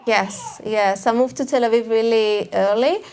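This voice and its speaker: A woman's voice: fairly high pitch, measured pace, darkish tone, clean tone. A woman with a Russian accent, an author speaking at a live talk, hesitant, restarting often with small laughs. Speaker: author guest. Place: event hall before a live audience.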